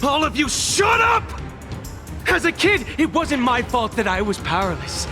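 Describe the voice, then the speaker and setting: A man's voice: very high voice, very animated, over music, heavily acted. An actor playing a bitter, defiant young male squad leader in a video game, with theatrical, emphatic delivery. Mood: furious, defiant. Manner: slow, emphatic, declamatory delivery. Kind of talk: dramatic video game voice acting. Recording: dramatic game score behind the voice.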